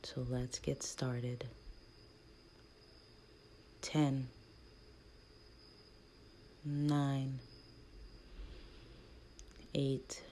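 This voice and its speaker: A woman guides a meditation in a very low, soft-toned voice. She speaks slowly and gently, almost hushed, pausing between short soothing instructions. There is faint noise behind her. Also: flat delivery. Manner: gentle, hushed delivery with long pauses between short phrases.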